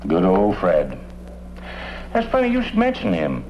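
An actor playing a talkative, plain-spoken police detective sidekick in an old-time radio drama. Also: male voice, sits low, huge pitch swings, measured pace, darkish tone, full character voice. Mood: rueful, nostalgic. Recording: dull, hissy old radio recording.

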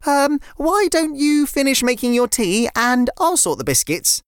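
A quick-thinking little girl who jumps in with excited excuses, as a storyteller puts her on. Her voice is very high and bounces up and down. The sound is close and dry. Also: no echo. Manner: excited, breathless, fast-thinking.

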